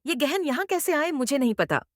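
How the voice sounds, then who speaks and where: A woman's voice: high voice, lively intonation, a little fast, no room sound, studio quality. A woman voicing a greedy, domineering middle-aged mother-in-law in a cartoon moral story, with an emphatic, theatrical delivery. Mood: defensive.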